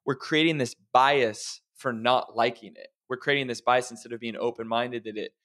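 A man's voice: mid-range voice, steady tone, moderate pace, sharp tone. A man who works as a health coach, chatting casually and reflectively on a podcast while encouraging his listeners.

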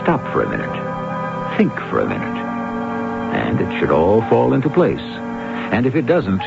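A man hosting a radio mystery series with theatrical scripted narration, dramatic, literary and deliberate, on an old broadcast recording. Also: deep voice, gravelly, lo-fi sound.

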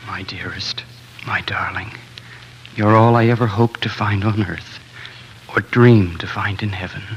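A man's voice: lowish voice, fairly even intonation, a little slow, faint music behind. An actor playing a tender, lovestruck young king with romantic, courtly earnestness in a 1940s radio drama. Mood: adoring, solemn. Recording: dull, noisy 1940s radio recording.

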